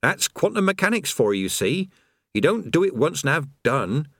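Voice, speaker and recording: A man's voice: on the high side, sing-song, moderate pace, vocal fry, fairly bright tone, no room sound, studio quality, pitched above the performer's own voice, exaggerated voice. A man voicing the cheerful, absent-minded creator of the universe, who rambles like a chatty tradesman. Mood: breezy. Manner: chatty, rambling, casual workman's talk.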